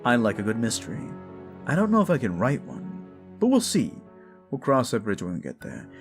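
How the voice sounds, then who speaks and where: A man's voice: mid-range voice, huge pitch swings, normal speed. Casual man with a cold, music behind. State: under the weather with a sore throat and runny nose. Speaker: audio roleplay creator. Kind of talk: casual unscripted update to listeners.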